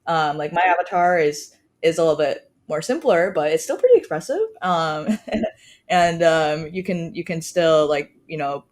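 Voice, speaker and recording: A woman's voice: low-pitched, lively intonation, moderate pace. A woman, a startup co-founder, explaining casually on a podcast with many fillers and trailing phrases.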